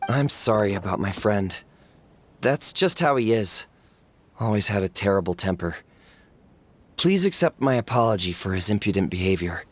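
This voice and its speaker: A man playing an earnest, humble, courteous subject of a monarch in an anime game, speaking sincerely and in measured phrases. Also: fairly low pitch, animated. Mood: sincere, apologetic. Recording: music under the voice.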